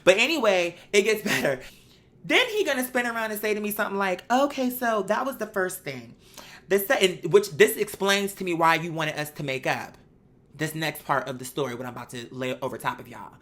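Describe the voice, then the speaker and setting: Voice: mid-range voice, fairly bright tone. A host telling a story in a casual monologue, with a sassy, candid, profane and emphatic manner. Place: bedroom. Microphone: microphone.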